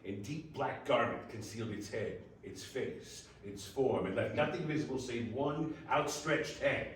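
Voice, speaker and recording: A man narrates a classic tale with dramatic, measured pauses. His mid-pitched voice carries from a distance in a very reverberant room with faint noise. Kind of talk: dramatic scripted story narration.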